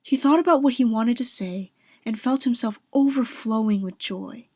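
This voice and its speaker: A woman's voice: a little high, normal speed, a little mellow, husky, dry recording, clean studio sound. A woman reading scripted narration for a radio drama.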